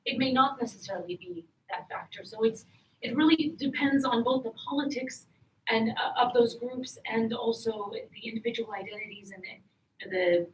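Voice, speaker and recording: A woman's voice: a little high, normal speed. A woman on a policy panel talking in a hesitant way with many fillers. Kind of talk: panel discussion. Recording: echoey room, distant mic, faint noise.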